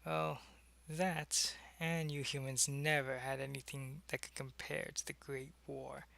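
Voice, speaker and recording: A man playing a secretive, soldierly alien changeling in an ASMR roleplay, speaking to the listener in a halting, conversational way. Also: mid-range voice, level tone, creaky, pushed and bright. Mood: matter-of-fact. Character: alien changeling spy posing as human, loyal, secretive, soldierly.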